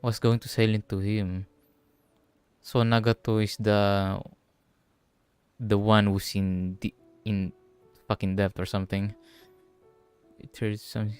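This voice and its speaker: A man's voice: lowish voice, flat delivery, takes it slowly, pauses often, a little mellow, smooth, soft background music. A man from the Philippines, giving casual, sweary commentary while livestreaming a game. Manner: informal, sweary.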